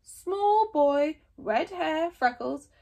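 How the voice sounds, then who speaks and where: A man's voice: very high voice, lively intonation, very slow, creaky, a little mellow, pitched above the performer's own voice, exaggerated voice. A woman voicing a stern, shrewd old male Viking elder. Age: older. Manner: stern, knowing.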